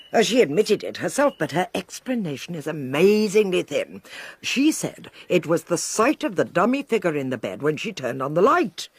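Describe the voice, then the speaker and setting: An actress playing a self-assured older lady sleuth in a radio whodunit, with an upper-class English accent and a husky, deliberate, emphatic delivery. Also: sits low, no room sound, exaggerated voice. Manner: deliberate, emphatic, precise, teasing. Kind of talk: 1920s whodunit radio drama acting.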